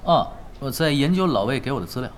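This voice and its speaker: A man's voice: mid-range voice, lively intonation, fast talker, a little hiss or hum, close to an everyday voice. A man playing a laid-back, shrewd gamer in an audio drama, with a teasing, matter-of-fact manner. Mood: casual, analytical. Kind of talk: audio drama acting in dialogue.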